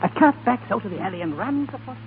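An actor playing a loyal, practical rebel in a 1930s radio drama. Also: male voice, very high-pitched, animated, fast talker. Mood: earnest. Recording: tinny, noisy 1930s radio recording.

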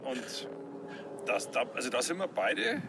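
A man with a Bavarian accent co-hosts a podcast and recounts things in a relaxed, conversational way. His gravelly voice sits at a middle pitch. Clear traffic noise runs behind him. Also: unhurried. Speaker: podcast co-host. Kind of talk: podcast interview.

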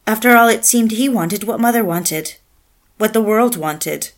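An actress playing a young woman in love, confiding and reflecting in an earnest, measured dramatic delivery. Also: fairly high pitch. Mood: brooding, reflective. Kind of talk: dramatic dialogue and reflective first-person narration.

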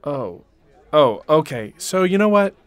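A man's voice: a little high, very animated, unhurried, dry recording. A young man playing an awkward, eager teenage boy in an audio drama, bashful and rambling. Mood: bashful, hopeful. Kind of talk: naturalistic audio drama acting.

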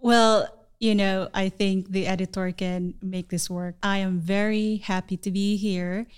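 A woman's voice: mid-range voice, little pitch movement, unhurried, clear, resonant tone. A woman hosting a podcast, reading an upbeat scripted intro and then chatting in a welcoming way with her guest. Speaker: podcast host.

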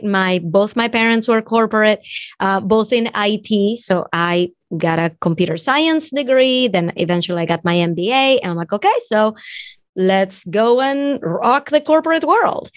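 A woman's voice: medium pitch, a little slow, dry recording, studio quality. A woman in her thirties from Venezuela with a Venezuelan Spanish accent, a business coach chatting as a guest and telling her story. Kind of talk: casual interview chat.

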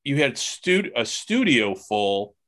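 A man hosting a podcast interview in a casual, halting way, thinking aloud as he talks. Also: medium pitch, big pitch swings, fairly bright tone. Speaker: podcast host interviewing guests.

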